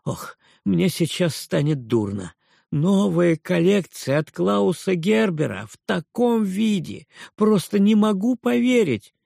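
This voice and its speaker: A man's voice: high-pitched, very animated, slow pace, gravelly, darkish tone, no echo, clean studio sound, pitched above the performer's own voice, exaggerated voice. A man voicing a fussy, hysterical middle-aged aunt who exclaims dramatically.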